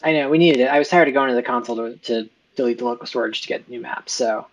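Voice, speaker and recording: A man with a mid-pitched, everyday voice explains his work over a video call. He talks in a relaxed, easygoing way, sprinkling in fillers as he goes. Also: fast talker, highs cut off. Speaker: co-host of a game dev stream. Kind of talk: casual technical chat.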